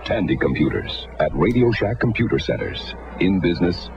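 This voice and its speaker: A man's voice: low voice, very animated, moderate pace, a little mellow. A man reading scripted taglines as a commercial announcer. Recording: muffled vintage TV advert with music. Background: music.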